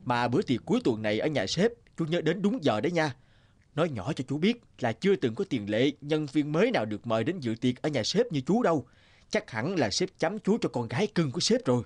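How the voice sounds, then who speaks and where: Teasing older office colleague. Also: male voice, fairly high pitch, big pitch swings, a little fast, a little mellow. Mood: teasing, jovial. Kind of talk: cartoon dialogue acting. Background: background music.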